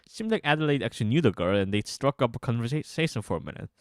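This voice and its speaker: A man's voice: medium pitch, sing-song, fast, no echo, clean studio sound. A man streaming, reading narration from their own visual novel aloud with casual asides. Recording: music bed behind voice. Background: guitar music.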